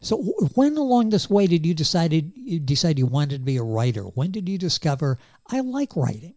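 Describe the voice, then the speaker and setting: A male talk show host with a warm, relaxed tone and a pitch that rises and falls freely. He speaks in a genial, upbeat announcer's style. The sound is close and dry, with no room echo. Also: moderate pace. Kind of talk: interview show hosting.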